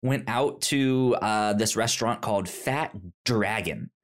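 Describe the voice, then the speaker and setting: A man's voice: on the low side, measured pace. A young man hosting a podcast, telling a story in casual chat and often stammering over repeated syllables and words. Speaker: podcast host.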